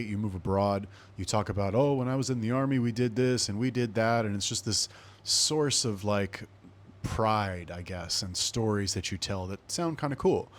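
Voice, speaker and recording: A young man with a fairly low voice talks on his podcast. He rambles casually through a personal story, swearing often and wandering into side remarks. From Los Angeles, USA. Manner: profane, rambling, digressive. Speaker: podcast host.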